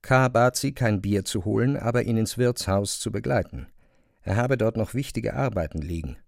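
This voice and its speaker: Male narrator, lowish pitch, gravelly creak, literary reading, close dry sound. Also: normal speed, no room sound.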